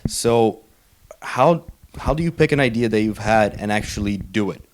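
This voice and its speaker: A man's voice: on the low side, expressive, normal speed. A man interviewing casually as a podcast host, agreeing often as he goes. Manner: agreeable, frequent backchannels.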